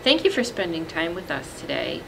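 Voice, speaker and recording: A woman in her thirties reading literature aloud as a librarian, with measured, deliberate phrasing and pauses. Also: lowish voice, sing-song, vocal fry, noisy background. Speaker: librarian presenting a poetry reading. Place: indoor library, seated among bookshelves.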